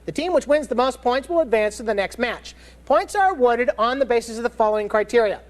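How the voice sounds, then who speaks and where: A man's voice: very high voice, expressive, fast talker. A man co-hosting a televised gaming tournament, reading out the rules and throwing in dry, sarcastic asides. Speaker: co-host. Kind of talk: scripted TV hosting banter.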